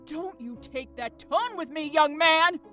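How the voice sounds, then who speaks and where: A woman's voice: high voice, a little slow, sharp tone, faint music behind. An actress playing a stern, middle-aged mother scolding her teenage son in a visual novel game, commanding and emphatic. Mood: angry scolding.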